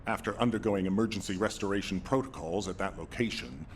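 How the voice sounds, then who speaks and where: An actor playing a resolute military commander in a game cutscene, speaking firmly and deliberately with authority. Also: male voice, deep voice, a little hiss or hum, natural voice. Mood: businesslike, firm. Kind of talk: scripted game cutscene acting.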